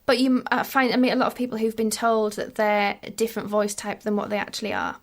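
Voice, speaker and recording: A woman vocal coach explaining singing in a relaxed podcast conversation, patient and full of examples. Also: fairly high pitch, fast talker, gravelly.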